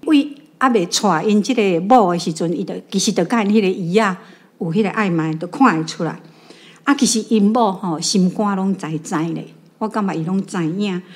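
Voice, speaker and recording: A woman's voice: mid-range voice, a little mellow. A woman with a Taiwanese accent sharing her thoughts in an informal book discussion. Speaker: reading club member sharing thoughts.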